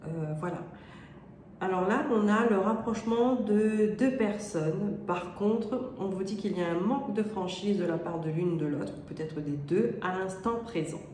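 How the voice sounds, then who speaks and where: A woman's voice: mid-range voice, measured pace, a little mellow. Woman with a cold reading tarot cards. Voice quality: stuffy nose. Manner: hesitant, many fillers and rephrasings; checks in with "d'accord?" often. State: has a cold. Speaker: tarot card reader. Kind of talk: casual spoken tarot reading. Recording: roomy echo with faint room noise.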